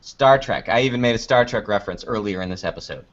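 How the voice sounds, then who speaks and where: A man's voice: lowish voice, fast, muffled recording. A man chatting casually and jokingly as a guest being interviewed, with a playful way of talking. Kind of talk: casual chat.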